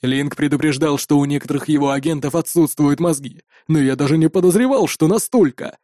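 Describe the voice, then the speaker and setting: A man's voice: on the high side, fairly quick, warm tone, no room sound, studio quality, natural voice. A man voicing a cold, menacing armoured raider who talks with scornful threat in an audiobook story.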